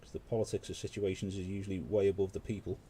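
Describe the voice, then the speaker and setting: Deep-voiced British man, chatty. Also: flat delivery, quick pace, dark tone, slight background noise. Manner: rambling, conversational, full of asides. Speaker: streamer hosting a paint-along. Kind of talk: casual chat while painting.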